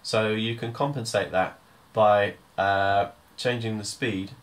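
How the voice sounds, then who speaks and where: Male tutor, lower register, informal step-by-step software walkthrough with a hesitant, thinking-as-he-goes delivery. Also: fairly even intonation, slow pace. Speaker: music tutor.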